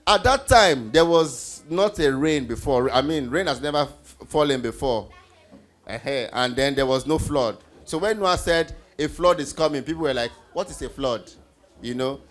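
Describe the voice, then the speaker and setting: A man hosting an interactive children's Bible teaching session on stage, encouraging and instructive, often prompting with questions and repeating answers. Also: fairly high pitch. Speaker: host leading children's Bible trivia. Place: event stage before a group of children.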